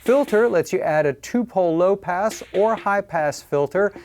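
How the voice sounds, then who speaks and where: A man presenting a scripted product review in a clear, upbeat explanatory way. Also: high-pitched, expressive, a little slow, darkish tone, faint music behind.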